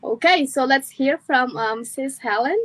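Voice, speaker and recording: A woman's voice: high voice, a little slow, fairly bright tone. A woman in a casual group talk who thanks the previous speaker, adds her own points and then hands over, with many fillers. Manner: filler-heavy, uses "like" often. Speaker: co-host passing the turn.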